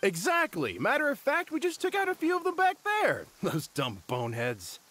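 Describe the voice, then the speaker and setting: A cocky forest ranger sidekick from a video game. The voice is extremely high for a man, bright and animated, with smug, scornful delivery. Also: normal speed, exaggerated voice. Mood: smug, mocking. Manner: smug, mocking.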